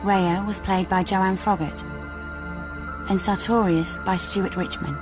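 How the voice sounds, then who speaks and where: A woman's voice: medium pitch, fairly even intonation, measured pace, over music. A woman reading scripted closing credits as a radio drama announcer.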